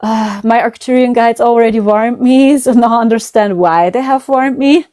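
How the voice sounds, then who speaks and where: German-accented woman, smooth dry voice. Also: a little high, normal speed, darkish tone, no room sound. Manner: rambling, repeats herself, frequent "you know". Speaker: psychic medium host. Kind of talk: casual conversation.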